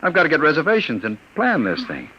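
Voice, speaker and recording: An actor playing a scheming, cruel gangster in a 1950s radio drama, smooth and menacing, often dropping to a low murmur. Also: male voice, huge pitch swings, quick pace, slight background noise. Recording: dull lo-fi old radio recording.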